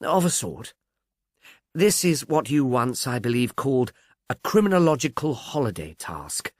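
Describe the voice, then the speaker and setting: A man's voice: mid-range voice, big pitch swings, unhurried, no echo, studio quality. A man voicing an amiable, slightly obtuse police detective in an audiobook, chatty and musing, earnestly puzzled. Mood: genial, musing. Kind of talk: audiobook character dialogue.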